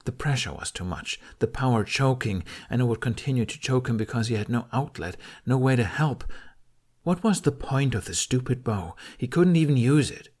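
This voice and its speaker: A man's voice: mid-range voice, animated, moderate pace, a little mellow. A man reading an audiobook of fantasy fiction as a dramatic, intense narrator.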